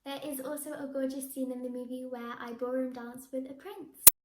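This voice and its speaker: Bubbly, high-voiced teen actress. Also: female voice, fairly even intonation, moderate pace, darkish tone. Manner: bubbly, enthusiastic, with frequent laughs. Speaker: young actress. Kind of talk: answering interview questions to camera. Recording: echoey room.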